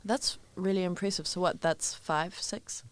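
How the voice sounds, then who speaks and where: A young woman from Zimbabwe hosting a podcast, chatting casually and telling stories with playful quoted voices. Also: on the low side. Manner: animated, quick storytelling with playful quoted voices. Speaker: podcast host. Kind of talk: casual chat.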